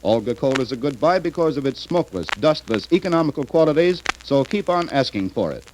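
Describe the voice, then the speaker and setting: A man's voice: mid-range voice, expressive, a little fast. A North American man announcing in 1940s radio style, with a folksy, earnest sales delivery. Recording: faintly noisy 1940s radio recording.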